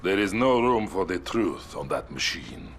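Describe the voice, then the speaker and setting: An actor playing a bitter, defiant Soviet colonel with a Russian accent in a video game drama. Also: male voice, deep voice, very animated, a little slow, fairly bright tone, a little hiss or hum, heavily acted. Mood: bitter, firm.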